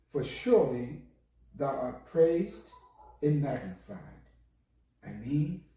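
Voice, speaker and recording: Man, minister, hoarse voice, mellow tone, very roomy echo, distant mic. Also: mid-range voice, very animated. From United States. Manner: slow, measured, solemn delivery. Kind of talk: sermon with recited prayer.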